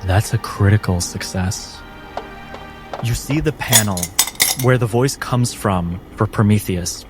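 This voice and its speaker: A man acting as game master, narrating a cinematic tabletop story in a measured, descriptive, instructive manner. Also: lowish voice.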